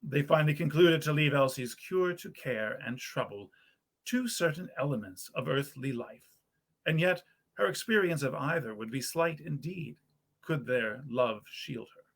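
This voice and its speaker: Male storyteller, a touch higher-pitched, theatrical and genteel, for nineteenth-century literary narration. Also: expressive, normal speed, gravelly. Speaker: actor reading a story aloud. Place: period parlor in a historic house.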